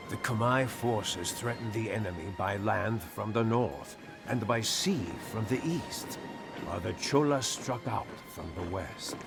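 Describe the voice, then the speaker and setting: A man narrating a historical strategy game in a measured, theatrical storytelling style. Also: mid-range voice. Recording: narration over a music bed. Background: background music.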